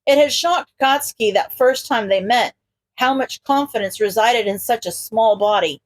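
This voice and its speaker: A woman reads fiction as a narrator. Her voice is on the high side, and her delivery is expressive, scripted storytelling. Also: moderate pace, poor audio. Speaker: podfic narrator.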